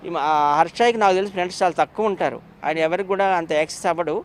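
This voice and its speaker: A man's voice: a little high, racing, a little hiss or hum. A man speaking as an interview guest, giving his opinions emphatically and often repeating phrases. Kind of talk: animated opinionated interview talk.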